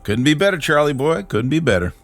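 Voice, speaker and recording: A good-natured, blunt pal in a vintage drama. His creaky voice leaps wildly up and down as he rambles breezily, music behind him. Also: fairly high pitch, sing-song, fairly quick. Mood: breezy, teasing. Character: hearty, blunt, good-natured working-man buddy. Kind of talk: mid-century television drama acting.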